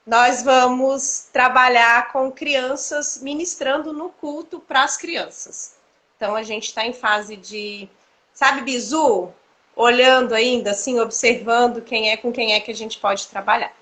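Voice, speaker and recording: Lively high-voiced woman in her thirties. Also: unhurried. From Cuiabá, Mato Grosso, Brazil. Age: 30s. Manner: animated, laughs often, many fillers. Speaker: church dance ministry leader, guest. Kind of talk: casual testimony chat.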